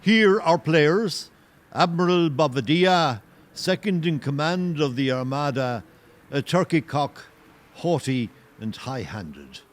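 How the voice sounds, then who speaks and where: An actor as the solemn narrator of an audio play, delivering scripted narration in a portentous, theatrical way. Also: male voice, on the high side, lively intonation, slow pace, slight background noise. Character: solemn storyteller introducing and presiding over the play.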